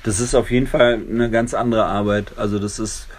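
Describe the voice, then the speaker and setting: Man, native Italian speaker, lowish voice, thoughtful, searching for words. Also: steady tone, brisk. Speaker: interview guest, song translator. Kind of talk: conversational interview answers.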